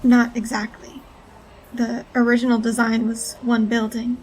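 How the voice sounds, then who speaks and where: Hunted woman informant played by an actress, audio drama. Higher pitch, little melodic movement, halting and earnest, background noise. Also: completely flat delivery, darkish tone, natural voice, poor audio. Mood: tense, explaining. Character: frightened, hunted female whistleblower, earnest and urgent.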